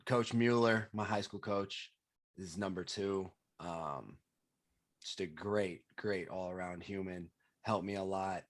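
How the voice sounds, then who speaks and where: A man's voice: deep voice, fairly even intonation, takes it slowly. A man co-hosting a casual podcast, blunt, profane and jokey. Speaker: podcast co-host. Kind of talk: casual chat.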